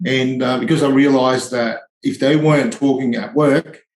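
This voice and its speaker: A man's voice: medium pitch, steady tone, normal speed, echoey room, poor audio. A man in his forties from Tonga, a tradie and charity founder, speaking hesitantly in a podcast interview with many ums and restarts.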